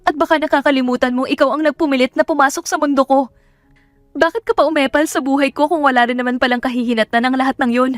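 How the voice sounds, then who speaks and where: A woman's voice: high voice, steady tone, quick pace, no echo, faint music behind, voice pushed up, close to an everyday voice. A woman voicing a hurt, defiant young mother in a romance audio drama, speaking emphatically and indignantly. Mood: bitter, indignant.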